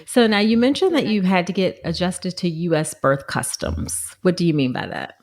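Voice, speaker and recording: A woman's voice: fairly low pitch, very animated, moderate pace. A woman hosting a podcast, a doctor who interviews guests and reads her own promos, often affirming with short replies. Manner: warm affirming interviewer with frequent okays. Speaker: podcast host, obstetrician.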